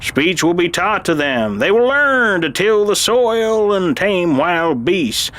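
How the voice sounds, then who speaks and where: Man acting a cranky old alien historian, slow-spoken, swooping pitch. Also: big pitch swings, a bit bright, full character voice. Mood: sardonic. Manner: grumbling, sardonic, sighing. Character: cranky tired old alien chief historian, crazy uncle. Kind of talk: audio drama acting.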